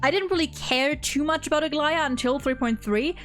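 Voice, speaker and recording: A high-voiced woman co-hosts a casual gaming fan chat. She talks effusively and swears freely, with music playing behind her. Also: fairly quick. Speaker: co-host.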